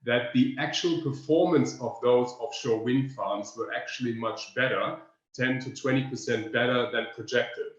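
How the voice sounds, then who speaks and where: German man presenting in a roomy room. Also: mid-range voice. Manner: thoughtful, pausing with frequent fillers. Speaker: research presenter. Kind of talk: webinar presentation. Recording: roomy, muffled lo-fi sound.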